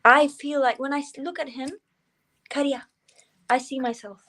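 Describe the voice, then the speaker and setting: A woman's voice: sits high, fairly even intonation, normal speed, a bit bright. A woman in her twenties with a Norwegian accent, a guest singer in a casual interview, chatty and giddy with lots of fillers. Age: 20s.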